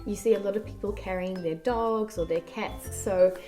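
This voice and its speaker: A woman with a New Zealand accent softened by years abroad, answering viewer questions in a casual chat, speaking softly and articulating with care. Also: mid-range voice, soft background music. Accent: New Zealand, softened by years in France. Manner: soft-spoken, slow and carefully articulated.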